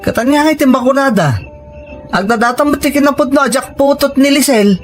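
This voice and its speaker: A man's voice: extremely high pitch, a little fast, soft background music. A man with a Filipino accent playing a struggling, hard-hearted carpenter husband in an audio drama, blunt and insistent. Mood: stubborn, insistent. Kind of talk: scripted audio drama acting.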